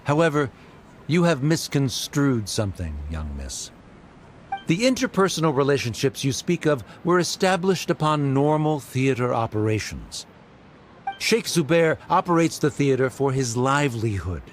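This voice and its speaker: An actor playing a haughty, coldly rational scholar in a video game, speaking formally and condescendingly. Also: male voice, medium pitch, sing-song, normal speed, slight background noise, lightly acted. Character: haughty male scholar accuser, cold and coolly rational. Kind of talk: scripted video game dialogue.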